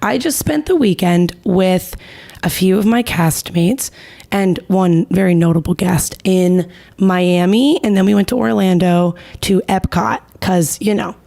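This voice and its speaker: A raspy woman in her thirties who is nearly out of voice, co-hosting a casual podcast. Her delivery is gravelly with vocal fry, and she tells chatty, teasing stories. She is recorded close on a studio mic. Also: fairly low pitch, unhurried, mellow. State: losing her voice. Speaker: podcast co-host. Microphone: close ASMR-style studio mic.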